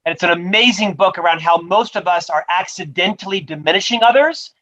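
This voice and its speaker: A man, a book author, answering rapid-fire interview questions in an upbeat, promotional way over a video call. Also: sits high, pushed and bright, muffled recording. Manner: upbeat, promotional, says he stutters but talks fluently. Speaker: author and guest.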